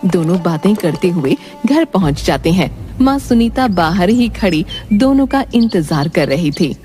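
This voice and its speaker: A woman's voice: on the low side, very animated, unhurried, mellow. A woman narrating a scripted children's story. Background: faint music.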